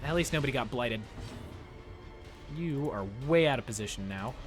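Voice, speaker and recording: Animated young man streaming. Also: mid-range voice, moderate pace, husky, faint music behind. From United States. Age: young adult. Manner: chatty, animated, talks to chat while playing. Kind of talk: casual gameplay commentary with chat. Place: small room indoors. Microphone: headset mic.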